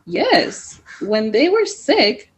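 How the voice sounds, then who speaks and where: Storybook teacher explaining to kids, as a woman reads him, slow and lively. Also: a little high, full character voice. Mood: patient.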